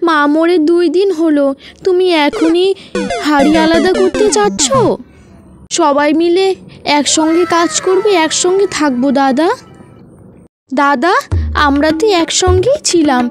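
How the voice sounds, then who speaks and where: A woman's voice: very high voice, unhurried, long pauses. A woman voicing a young, earnest little bird in a cartoon moral story, with an emotive, pleading manner. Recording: music behind the voice. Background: music.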